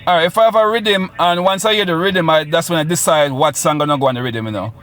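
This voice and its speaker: A man's voice: on the high side, quick pace, fairly bright tone. A man with a Jamaican accent, a guest musician chatting in a radio interview, boastful and rambling with patois touches.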